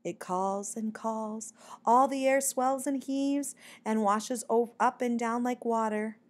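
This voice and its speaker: A woman's voice: on the high side, steady tone, slow. A woman voicing a shy, earnest little male bat poet in a storybook, with a timid, hesitant manner. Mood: hushed, solemn.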